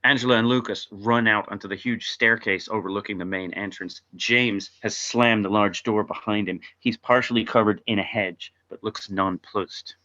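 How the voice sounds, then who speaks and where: Low, forceful man, dull lo-fi audio. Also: fairly even intonation, moderate pace, hardly any pauses. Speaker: narrator reading stage directions. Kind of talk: scripted narration at an informal table read.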